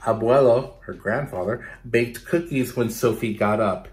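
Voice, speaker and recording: A man in his thirties reading a picture book aloud for children, expressively, pausing to explain and ask questions. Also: medium pitch, a little mellow, slight background noise. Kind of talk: children's storytime read-aloud with asides and questions to young listeners. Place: small bedroom indoors.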